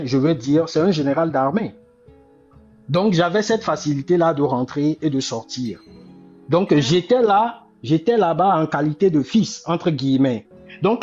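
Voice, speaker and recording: A man's voice: on the high side, a little mellow, muffled recording. A man, making impassioned political commentary and repeating key phrases with many restarts. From Togo. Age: 40s. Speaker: commentator on a live discussion.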